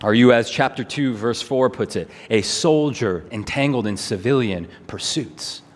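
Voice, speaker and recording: A man's voice: mid-range voice, unhurried. Male preacher in a reverberant hall. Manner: earnest, emphatic, rhetorical questions and repetition. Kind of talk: sermon. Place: large hall, preaching to a congregation.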